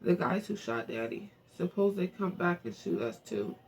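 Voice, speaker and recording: A man's voice: sits high, animated, moderate pace, gravelly, darkish tone, slight background noise, low-quality recording. A man reading the part of a frightened young boy mourning his father, asking simple, plaintive questions in a novel. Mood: anxious, questioning.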